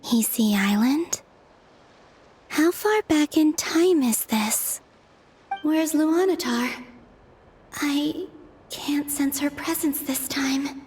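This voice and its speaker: Game casting: pensive young goddess, soft, solemn, lightly high-pitched, very unhurried delivery. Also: female voice, faint music behind. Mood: anxious, searching. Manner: slow, measured, introspective monologue. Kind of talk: video game voice acting.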